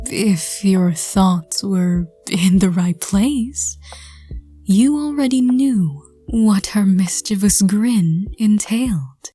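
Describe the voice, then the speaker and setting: A woman reading scripted second-person story narration in a soft, gentle, hushed voice. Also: medium pitch, expressive, takes it slowly. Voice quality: soft, breathy. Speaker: narrator and audio roleplay reader.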